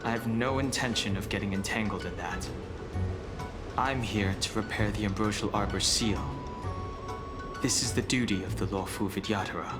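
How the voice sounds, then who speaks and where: A young man voicing a calm, reserved dragon heir, speaking in a measured, formal way in a video game cutscene. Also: on the low side, natural voice. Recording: game audio with loud music.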